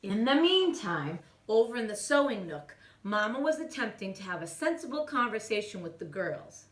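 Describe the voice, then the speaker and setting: A woman reading a children's storybook aloud in an animated way, as if speaking to young children. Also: medium pitch, normal speed, distant mic. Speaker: children's story-time reader. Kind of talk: expressive storybook read-aloud. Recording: echoey room, away from mic.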